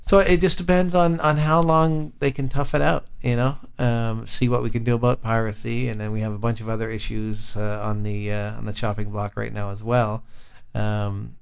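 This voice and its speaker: A man's voice: lowish voice, level tone, normal speed, gravelly, smooth. A man working as a film director, talking casually in an interview, rambling with many restarts. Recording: dull lo-fi recording.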